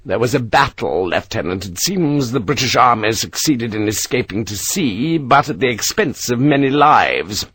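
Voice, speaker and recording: A tall, thin, timid gentleman speaks in a weary, tentative tone, as a man acts him out. His voice is mild and sad. The recording is close and dry. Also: mid-range voice, lively intonation, normal speed, few pauses, breathy edge, dry recording.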